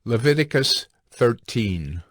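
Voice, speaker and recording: A man giving scripted scripture narration in a solemn, deliberate way, as a chapter announcer. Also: mid-range voice, expressive, a bit bright, no echo, studio quality.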